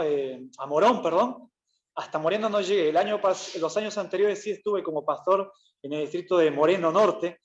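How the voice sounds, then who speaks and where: A man from Peru, preaching in a relaxed, chatty way with many "eh" fillers and self-corrections. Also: high-pitched. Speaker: pastor. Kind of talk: conversational sermon. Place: church hall. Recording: roomy church echo, slightly dull.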